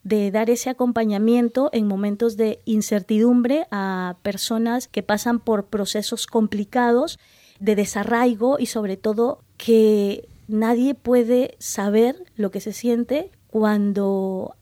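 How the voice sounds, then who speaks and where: A woman with a mellow, fairly high voice speaks earnestly and with feeling about her work. She talks in a panel conversation, recorded dry and close. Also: no room sound. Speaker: foundation worker, former intern.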